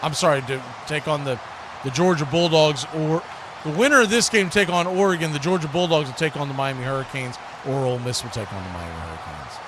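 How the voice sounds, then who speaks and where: Higher-voiced American football commentator, noisy background. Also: male voice, fairly quick. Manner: conversational, folksy, rises to excited shouts on big plays. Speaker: play-by-play sports commentator and stream host. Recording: clearly noisy live stream background.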